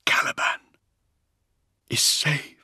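Dying warrior-mystic: loyal, peaceful, failing breath, unvoiced whisper. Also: male voice, slow, bright, forceful tone, no room sound, studio quality. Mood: weak, relieved.

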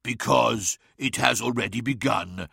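A man voicing a monstrous, gloating tempter in an audiobook, taunting and prophetic with drawn-out words. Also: mid-range voice, creaky, pushed and bright, breathy edge, no echo, clean studio sound, exaggerated voice. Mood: gloating menace. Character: monstrous male void predator, mocking, gloating tempter. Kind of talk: dramatic audiobook character acting.

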